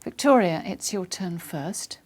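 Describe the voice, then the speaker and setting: A woman, working as an examiner and reading scripted test prompts in a measured, formal way. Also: lowish voice, animated, a little mellow, slightly rough.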